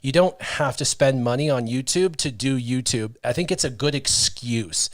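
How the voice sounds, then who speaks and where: A man's voice: mid-range voice, moderate pace, dry recording. A man in his thirties hosting a casual livestream chat, telling stories animatedly and reading viewer comments from a small studio on a stand mic. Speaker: host. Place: small studio with brick wall. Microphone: studio mic on stand, headphones.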